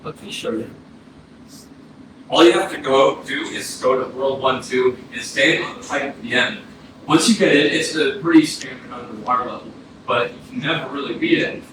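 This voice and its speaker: A man's voice: mid-range voice, moderate pace, close to an everyday voice. A man acting a sardonic, slangy young dead brother in a staged play reading, recorded from a distance. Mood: teasing. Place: small arts-centre stage, distant mic. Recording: roomy echo, distant mic, noisy audio.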